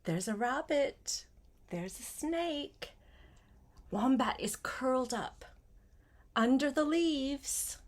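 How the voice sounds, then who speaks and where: An older woman, a grandmother, reads a picture book aloud to a young child, gently adding simple asides and questions. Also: sits high, lively intonation, takes it slowly, pauses often. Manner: gentle, simple child-directed talk with questions and short phrases.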